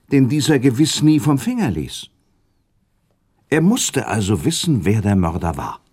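A man's voice: mid-range voice, a little mellow, slightly rough. A man playing a noble, sceptical prince in a German radio play, arguing insistently and reasoning with rhetorical questions.

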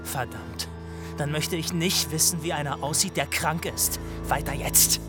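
A man's voice: fairly high pitch, unhurried, natural voice. Male actor as wrathful young soldier bully, self-dramatizing, theatrical declamation, radio play, faint music behind. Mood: gruff, boastful.

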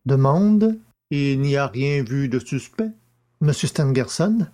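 A man plays a courteous examining magistrate in an audiobook mystery. The questions come slowly and deliberately, with strong swings of pitch. The manner is formal and methodical. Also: lively intonation, warm tone. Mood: methodical, probing. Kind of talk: audiobook character acting.

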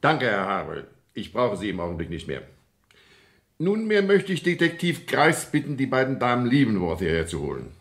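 A man's voice: mid-range voice, wildly swooping pitch, a little fast, creaky, a bit bright, exaggerated voice. A man playing a commanding coroner in a German radio crime drama, formal and insistent in his questioning. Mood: formal, businesslike. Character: commanding male coroner questioning witnesses at an inquest.

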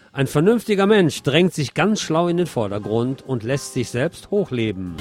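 Male radio host, scripted narration, mid-pitched voice over music. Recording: music behind the voice.

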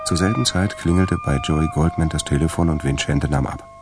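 A man acting as the narrator of a scripted crime radio drama, with a gravelly voice. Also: very deep voice, fairly quick, loud music behind the voice. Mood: measured storytelling. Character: male narrator of a crime story.

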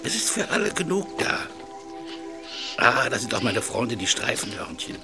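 A man's voice: mid-range voice, very animated, normal speed, music in the background. A man playing an eccentric animal lover in a German audio drama, tender with creatures and stubborn with people. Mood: tender, excited.

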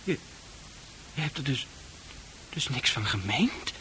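A man's voice: mid-range voice, big pitch swings, takes it slowly, sharp tone, exaggerated voice. Stammering, timid young man, hissy recording. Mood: bewildered, hurt. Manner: hesitant, stammering, pleading. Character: naive, timid, apologetic young man, easily hurt. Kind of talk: Dutch radio play acting. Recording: hissy old radio play recording.

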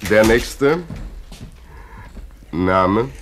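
A man's voice: fairly low pitch, extremely slow. An actor playing a curt, no-nonsense recruiting foreman with clipped commands in a 1950s radio drama. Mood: brusque. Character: brusque, no-nonsense construction-site recruiting foreman. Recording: noisy old 1950s radio recording.

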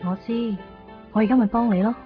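A woman's voice: a little high, fairly even intonation, brisk, close to an everyday voice. Tender, sorrowful young Hong Kong woman played by an actress, muffled radio drama. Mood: gentle. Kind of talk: Cantonese radio drama acting with inner monologue. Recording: dull lo-fi 1990s radio recording. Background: music.

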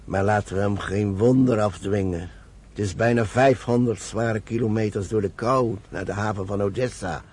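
An actor playing a cautious older rabbi in a radio drama, speaking gravely and deliberately as he counsels and admonishes. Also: fairly low pitch, a little mellow. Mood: incredulous, admonishing. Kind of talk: Dutch radio drama acting. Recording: noisy old radio play recording.

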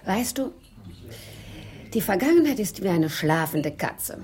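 An actress playing a flirtatious, coolly capricious mistress who teases and purrs endearments in a German radio crime drama. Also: female voice, medium pitch, very animated, measured pace, a little mellow, slightly rough. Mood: teasing, consoling.

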